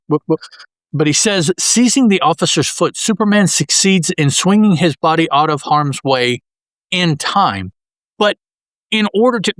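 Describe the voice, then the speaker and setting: A man's voice: mid-range voice, a bit bright, no echo. Rambling male podcast co-host. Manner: rambling, restarts a lot while describing panels. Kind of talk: casual comic-book discussion.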